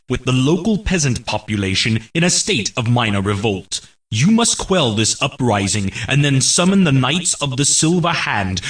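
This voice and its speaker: A man playing a solemn military herald, narrating mission briefings for a fantasy game in a formal, measured, grave and declamatory way. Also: medium pitch, a bit bright, recorded from a distance.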